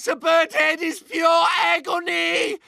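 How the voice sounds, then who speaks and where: Hysterical bird-headed mad scientist, very high-pitched, theatrical, sharp game voice. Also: male voice, level tone, takes it slowly, creaky, dry recording, clean studio sound, heavily acted. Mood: anguished hysteria. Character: frantic mad scientist fused with a bird's head. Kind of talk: exaggerated video game character acting.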